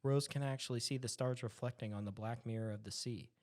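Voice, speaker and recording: A young man in his twenties serves as narrator of a script reading. His voice sits at a middle pitch. He delivers the stage directions dramatically, with amusement at the edges. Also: steady tone, fast talker, darkish tone. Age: 20s. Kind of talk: reading screenplay directions aloud.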